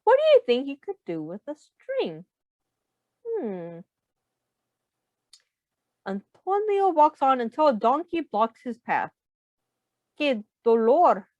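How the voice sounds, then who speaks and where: Female children's read-aloud teacher, very animated, high voice, asks listeners questions; dry, slightly muffled lo-fi sound. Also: slow pace, long pauses, no room sound. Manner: simple, guiding questions to listeners. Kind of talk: expressive picture-book read-aloud with questions.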